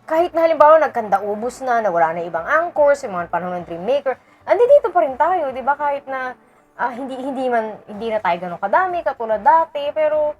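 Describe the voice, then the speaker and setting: A woman's voice: high voice, very animated, fairly quick, highs cut off. A Filipino woman chatting casually as a fan commentator, talking emphatically and repeating her phrases. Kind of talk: casual reaction chat. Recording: music playing behind the voice.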